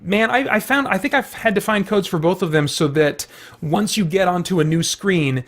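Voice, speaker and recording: A man's voice: high-pitched, moderate pace, fairly bright tone. A middle-aged man hosting a video with casual, rambling, enthusiastic chat full of loose asides. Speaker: video host.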